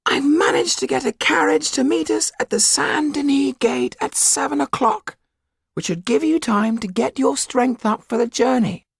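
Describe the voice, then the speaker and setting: Audiobook role: small, weary, urgent older fellow; croaky high voice, raspy edge, unhurried. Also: male voice, no room sound, clean studio sound, exaggerated voice. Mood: grave, instructive. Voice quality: high, croaky, husky squeak.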